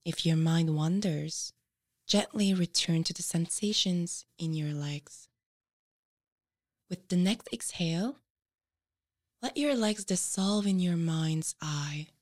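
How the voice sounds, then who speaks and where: Slow, low-pitched woman guiding meditation. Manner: measured, instructional, with frequent pauses. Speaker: meditation guide. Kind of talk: guided meditation narration.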